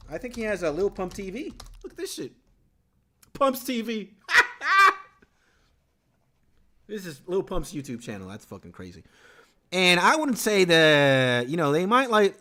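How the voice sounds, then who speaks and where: A man's voice: high voice, measured pace. A man from Venezuela, a native Spanish speaker, chatting casually on a live stream about music and reading comments from chat aloud. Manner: lively, rambling, reads chat aloud.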